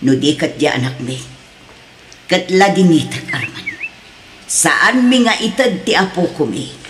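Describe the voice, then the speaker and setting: A woman's voice: fairly low pitch, very animated, measured pace. An actress with an Ilocano accent playing a stern older mother protecting her daughter in a radio drama. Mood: firm, reproachful. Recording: echoey room, faint noise.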